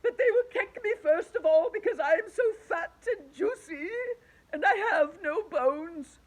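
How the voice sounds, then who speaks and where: A woman voicing a frightened male earthworm who wails and sobs in a high voice. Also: expressive, a little slow. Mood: wailing. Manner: high, tearful wailing. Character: wailing panicky earthworm, male, fat and fearful.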